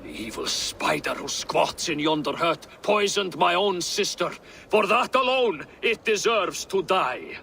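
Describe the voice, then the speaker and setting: Vengeful hunter, slow and highly animated. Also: male voice, full character voice. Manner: intense, dramatic. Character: vengeful, superstitious male hunter, grim and fanatical. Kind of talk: video game character acting. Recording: clear background noise and ambience.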